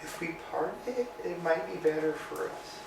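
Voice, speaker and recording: A man reading the part of a weary, glum middle-aged tramp in a staged play reading, with a flat, low-key delivery. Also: moderate pace, creaky, background noise. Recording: roomy room, mic far off.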